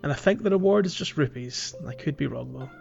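A man hosting a podcast, talking through a video game in a casual, halting way as he thinks aloud. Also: on the high side, expressive, gravelly, darkish tone, dull audio. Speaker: podcast host. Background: music.

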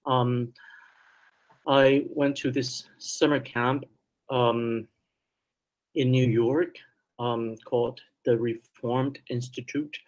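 A man from Taiwan with a slight Taiwanese Mandarin accent, answering interview questions hesitantly with many pauses and restarts. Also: medium pitch, fairly even intonation, rough recording. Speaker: guest theologian.

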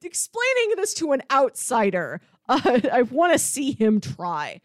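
A woman's voice: high voice, wildly swooping pitch, measured pace, no echo. A woman co-hosting a podcast in casual, enthusiastic conversation. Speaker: podcast co-host. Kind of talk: casual chat.

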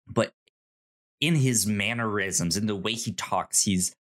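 A man co-hosting a casual discussion of a TV show, halting and repeating words as he thinks. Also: on the low side, fairly bright tone. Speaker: podcast co-host.

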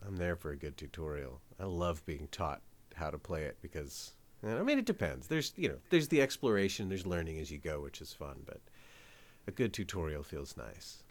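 A man's voice: a bass voice, big pitch swings, normal speed. A man hosting a podcast, chatting casually and jokily, swearing now and then. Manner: laid-back, jokey, swears casually. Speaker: podcast host. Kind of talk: casual chat.

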